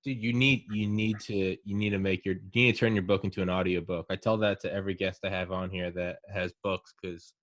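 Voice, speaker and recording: A man's voice: low-pitched, level tone, a little fast, few pauses, fairly bright tone. A man in his thirties hosting a podcast, talking casually and enthusiastically in a rambling way, full of "like" and "man". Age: 30s. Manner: rambling and enthusiastic, many likes and mans. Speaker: podcast host.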